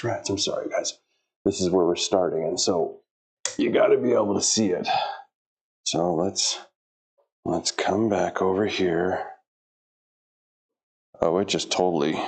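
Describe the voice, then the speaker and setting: A man's voice: deep voice, gravelly, husky. A middle-aged man talking casually to the camera in a home gym, chatty and a bit flustered as he sets up his workout. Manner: chatty, self-talking, flustered by equipment trouble. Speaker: fitness vlogger.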